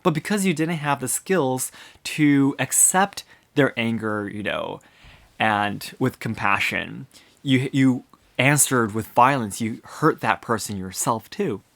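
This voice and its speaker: A man's voice: mid-range voice, slow pace, gravelly, fairly bright tone. A man touched by English, hosting his own podcast and talking through his views in an earnest, thoughtful way, many examples and a frequent "you know". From Japan. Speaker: podcast host. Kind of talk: solo podcast reflection.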